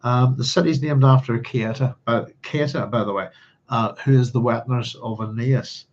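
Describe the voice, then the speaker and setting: A man's voice: medium pitch, fairly even intonation, normal speed. Rambling Northern Irish man, slightly dull recording. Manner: rambling, scattered, often thinking aloud. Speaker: book club host. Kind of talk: informal read-along commentary on a novel.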